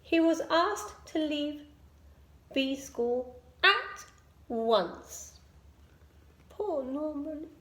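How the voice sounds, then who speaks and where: A woman reading a children's picture book aloud in an expressive storytelling style. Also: high-pitched, very slow, pauses often. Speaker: storybook reader. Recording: echoey room.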